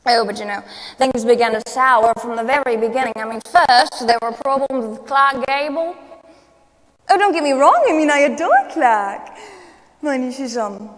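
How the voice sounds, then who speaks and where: A woman's voice: high voice, animated, moderate pace. A woman playing a coquettish, fragile, grand aging film star in a gushing, dramatic stage monologue. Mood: gossipy. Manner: gushing, dramatic, calls people darling. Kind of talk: solo dramatic monologue performance. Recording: echoey hall, long reverb.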